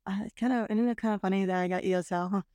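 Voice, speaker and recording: A woman talking casually with her brother as a podcast guest, reflective and hesitant, with frequent pauses and restarts. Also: mid-range voice, fairly even intonation, warm tone, smooth, no echo, studio quality. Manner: hesitant, reflective, many fillers and restarts, occasional laughs. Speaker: guest, host's sister. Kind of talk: casual conversation. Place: parents' house.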